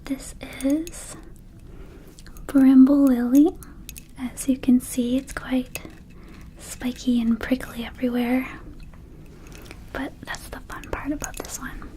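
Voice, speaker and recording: A woman's voice: high-pitched, steady tone, slow, pauses often, mellow, low-quality recording. A woman voicing a small, friendly, scatterbrained female vampire shopkeeper in an ASMR roleplay, whispering in a hesitant, casual, confiding way. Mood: soothing, enthusiastic. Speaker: ASMR performer.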